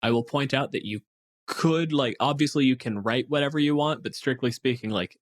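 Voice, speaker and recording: A man co-hosting a casual tabletop game discussion, explaining things in an animated way and swearing freely. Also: mid-range voice, fairly quick. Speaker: podcast co-host.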